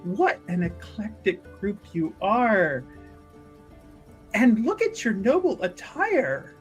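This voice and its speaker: A player voicing a polite, probing church sister in a fantasy tabletop game, with formal, measured, pious phrasing. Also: medium pitch, big pitch swings, soft background music. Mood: courteous, probing.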